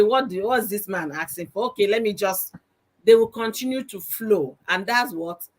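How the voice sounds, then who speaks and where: Nigerian woman hosting a preachy chat. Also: mid-range voice. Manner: preachy, asks "you understand?" often. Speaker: host. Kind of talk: casual panel chat.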